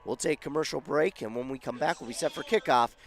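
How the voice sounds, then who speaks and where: A man calling a football game on local radio, his voice going scratchy and hoarse, rising with excitement on big plays. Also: medium pitch, fast talker. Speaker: play-by-play announcer.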